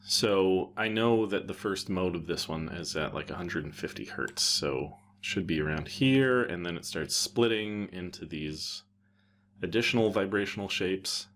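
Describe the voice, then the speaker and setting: A man presenting a casual, unscripted software tutorial in an offhand way, thinking aloud as he goes. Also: sits low, measured pace, slight background noise.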